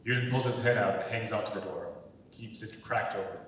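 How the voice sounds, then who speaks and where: A man's voice: fairly low pitch, fairly even intonation, brisk, a bit bright. A man reading stage directions as scripted narration, heard from far away in an echoey hall. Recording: echoey hall, far from mic, faint noise.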